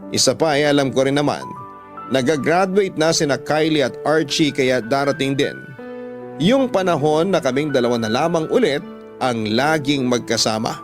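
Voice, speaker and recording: A man's voice: mid-range voice, moderate pace. A man with a Filipino accent reading a first-person dramatic story as a radio storyteller. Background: music under the voice.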